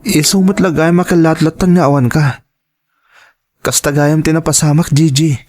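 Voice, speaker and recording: A hurt young boyfriend acted by a man with an Ilocano accent and a high-pitched voice. He questions and pleads in an emotional melodrama style, sounding bewildered. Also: fairly even intonation, normal speed, a little mellow, natural voice. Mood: concerned. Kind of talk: melodramatic audio drama acting.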